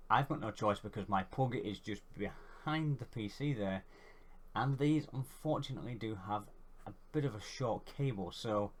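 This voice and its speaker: A man with a head cold and a congested voice, giving a rambling, casual how-to vlog. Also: fairly low pitch. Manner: rambling, chatty, self-deprecating. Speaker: YouTuber.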